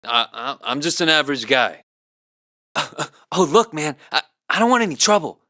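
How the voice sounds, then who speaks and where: A man's voice: on the high side, sing-song, normal speed, bright, forceful tone, no room sound, clean studio sound. An actor playing a male video game character with brash, slangy attitude, delivering short, exaggerated lines. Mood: nervous, evasive.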